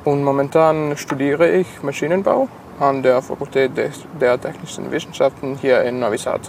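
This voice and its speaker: A man of twenty-five, a native Serbian speaker with a Serbian accent, answers interview questions haltingly, searching for words in a second language. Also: medium pitch, fairly even intonation, creaky, a little mellow, a little hiss or hum. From Sombor, Serbia. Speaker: interview guest, engineering student.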